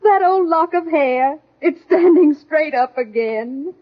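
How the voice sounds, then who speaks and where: A woman's voice: high-pitched, expressive, takes it slowly. A woman playing a fussing, sentimental middle-aged mother in 1940s radio comedy style. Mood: tender, anxious. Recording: thin, narrow-band vintage radio.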